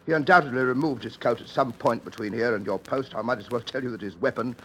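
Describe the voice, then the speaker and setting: A man's voice: medium pitch, fast, fairly bright tone, slight background noise, natural voice. A man playing a haughty, scheming father in a radio mystery play, pompous and irritable, speaking in clipped understatement. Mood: suave.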